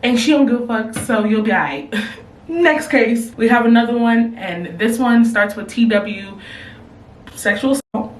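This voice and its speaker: A woman's voice: a little high, a little slow, reverberant, distant mic. A young woman talking casually to her camera at home, giving blunt, slangy advice. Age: young adult. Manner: blunt, slangy, animated reactions. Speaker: advice vlogger reading submissions. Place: room at home. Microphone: camera, later phone.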